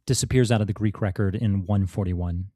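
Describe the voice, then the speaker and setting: A man's voice: lowish voice, fairly quick, mellow, no room sound, clean studio sound. A man, a podcast guest and author, telling a history story in casual talk, with many fillers and restarts. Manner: rambling, many fillers and restarts, laughs now and then.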